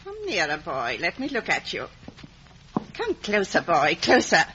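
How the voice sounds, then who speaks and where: An actress playing a bitter, weary, commanding old lady recluse in a 1950s radio drama, with a husky voice. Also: lowish voice, huge pitch swings, measured pace, fairly bright tone, slight background noise, full character voice. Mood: imperious, probing. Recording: dull vintage radio broadcast.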